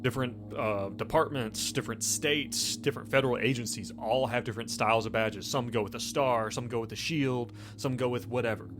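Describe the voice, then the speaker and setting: A man's voice: mid-range voice, a little fast, few pauses, fairly bright tone. A man speaking as game master in a tabletop roleplaying game, explaining things in an informal, conversational way. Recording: music playing under the voice. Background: background music.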